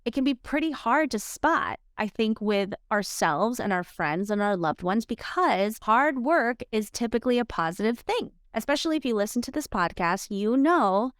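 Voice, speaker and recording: A woman's voice: on the high side, expressive, normal speed, few pauses, no echo, studio quality. A woman originally from the Philippines hosting her own podcast, speaking in an earnest, encouraging way straight to her listeners. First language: Filipino language. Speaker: podcast host. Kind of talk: motivational solo podcast talk. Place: home office.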